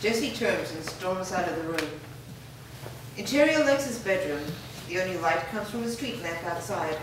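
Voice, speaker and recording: A woman's voice: on the low side, lively intonation, moderate pace. A woman reading scripted stage directions as the narrator of a staged reading. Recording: echoey room, distant mic, clear noise.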